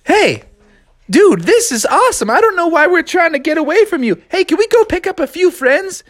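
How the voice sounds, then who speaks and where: A storyteller voicing a frightened little frog and his mother, squealing frantic, very high exclamations. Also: animated, moderate pace. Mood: excited.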